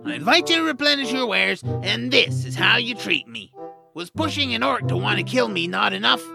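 Man acting a whiny, creaky-voiced reluctant young hero. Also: high-pitched, lively intonation, brisk, over music, heavily acted. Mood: grumbling. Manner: complaining, exasperated. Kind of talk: audio drama acting.

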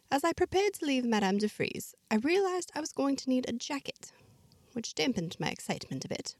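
A woman reads a fantasy tale in the first person, like an entry from her diary, chatting to the listener. Her voice sits at an ordinary middle pitch and is recorded dry and close, with no room sound. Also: no room sound. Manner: chatty, diary-like narration with asides. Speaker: narrator of a serialized fiction podcast. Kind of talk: first-person scripted storytelling.

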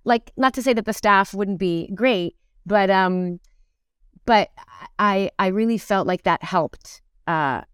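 A middle-aged woman chatting reflectively as an interview guest, animated and thinking aloud, often doubling back on her words. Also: medium pitch, takes it slowly, clear, resonant tone, no echo, clean studio sound. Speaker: interview guest, musical theatre actor. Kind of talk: casual reflective conversation.

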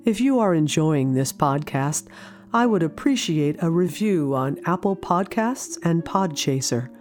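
A woman's voice: low-pitched, animated, unhurried, darkish tone, faint music behind. A woman performing scripted first-person dramatic narration in a reflective, intimate storytelling manner.

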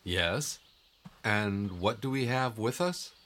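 An actor playing a calm, wise and assured guide in an audio drama, instructive and encouraging in manner. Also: male voice, fairly low pitch, slow, a little hiss or hum. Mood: assured, urging. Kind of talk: scripted audio drama acting.

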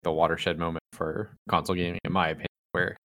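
A man hosting a casual gaming podcast, relaxed and rambling, with some teasing jokes. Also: sits low, fast, no echo, clean studio sound. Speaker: podcast host. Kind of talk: casual chat.

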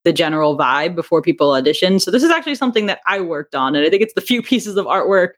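A woman's voice: on the low side, big pitch swings, fast talker. A woman speaking casually as a podcast guest, explaining things in a conversational, self-qualifying way. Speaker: podcast guest, audio drama writer and director. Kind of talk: casual interview chat.

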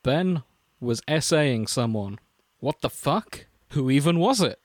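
A young man co-hosting a podcast, reading fan fiction aloud with deadpan, mocking asides. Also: mid-range voice, slow pace, dry recording, studio quality. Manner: wry, deadpan, dips into mocking quotes. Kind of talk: casual chat and comic dramatic reading.

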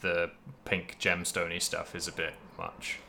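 Male co-host for a gaming podcast, fairly low but very bright voice, casual, wryly emphatic delivery. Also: level tone, measured pace, vocal fry, slight background noise. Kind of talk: casual video game discussion.

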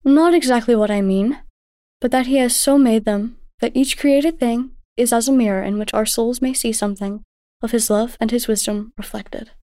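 A woman playing a gentle, devout mother in a storybook, speaking in a tender, measured, instructive way. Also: fairly high pitch, no echo, clean studio sound, close to an everyday voice. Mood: devout, calm. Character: gentle, patient, devout mother teaching her daughter.

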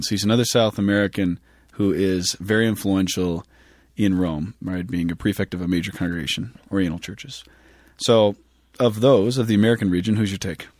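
A man in his thirties with a somewhat low voice, co-hosting a casual podcast chat. He jokes, laughs easily and trips over hard names. The recording is dry and close. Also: darkish tone, no echo. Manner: laughing, joking, stumbles over foreign names. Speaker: podcast co-host.

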